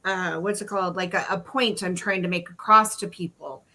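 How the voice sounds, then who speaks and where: A woman's voice: on the low side, steady tone, normal speed, sharp tone. A woman hosting a podcast, talking earnestly and rambling a little as she searches for words. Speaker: podcast host. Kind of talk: casual podcast chat.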